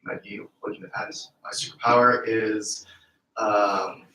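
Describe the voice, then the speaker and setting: A man, a patent lawyer, introducing himself to a group in a friendly, relaxed way. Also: fairly low pitch, measured pace. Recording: echoey room, distant, faint noise.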